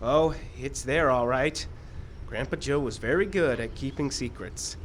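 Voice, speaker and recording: A man's voice: medium pitch, unhurried, close to an everyday voice. Actor as Carl, morose guilt-ridden man. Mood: guilty, morose. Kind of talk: live audio drama acting. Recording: outdoor live stage, faint background noise.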